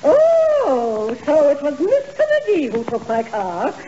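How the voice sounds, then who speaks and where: An actress playing a pompous, easily outraged society matron with affected, high-flown speech in a 1940s radio comedy. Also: female voice, wildly swooping pitch, takes it slowly, heavily acted. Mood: indignant. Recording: muffled, noisy 1940s radio broadcast.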